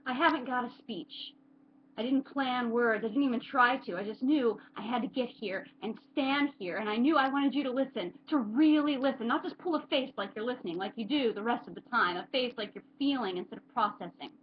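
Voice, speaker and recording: A woman's voice: on the high side, fairly quick, lo-fi sound, slight background noise, voice pushed up, lightly acted. A woman performing a dramatic monologue as a bitter, desperate contestant, accusing, scornful and impassioned toward a watching audience.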